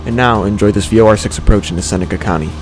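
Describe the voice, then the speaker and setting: A man's voice: lowish voice, rapid-fire. A young man, a student pilot, giving an explanatory voice-over for a flying video. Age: young adult. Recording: voice over background music.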